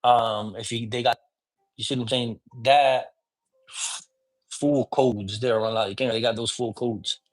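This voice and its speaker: A man's voice: medium pitch, fairly even intonation, normal speed, long pauses, rough recording. Casual man explaining, checking in often. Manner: informal, often checks in with "you get me?"; mixes in Spanish words. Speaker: priest teaching divination. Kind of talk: casual teaching talk.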